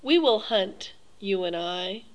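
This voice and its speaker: A woman's voice: mid-range voice, expressive. Woman voicing suave, cruel Cossack general. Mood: silky. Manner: silky, courteous, drawn-out phrasing. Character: suave, cruel aristocratic male Cossack hunter.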